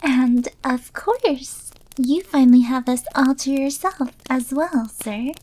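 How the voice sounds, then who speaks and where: A woman's voice: sits high, slow, darkish tone. A woman acting as a sweet, submissive, obsessively devoted young maid girlfriend in an ASMR roleplay, coy and eager to please.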